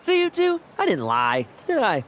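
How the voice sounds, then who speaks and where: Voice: mid-range voice, wildly swooping pitch, normal speed, long reverb tail, slight background noise. An actor voicing a playful, teasing young knight in a video game, with a breezy, theatrical manner. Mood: teasing, menacing.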